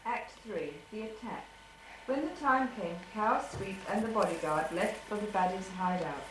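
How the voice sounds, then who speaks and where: A woman's voice: mid-range voice, animated, normal speed. A female narrator reading out an amateur puppet show story, recorded on an echoey, noisy old home video.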